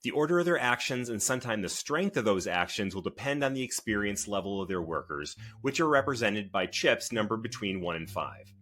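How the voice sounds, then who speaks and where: Bright, low-voiced man presenting. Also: animated, a little fast, few pauses. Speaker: co-host of a board game review podcast. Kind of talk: scripted explanatory narration.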